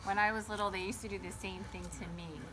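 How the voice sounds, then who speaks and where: A woman hosting a children's storybook read-aloud outdoors, chatting in an animated way and putting questions to her young listeners. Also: medium pitch, fast talker, very noisy. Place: garden, sitting outdoors by a small table fort.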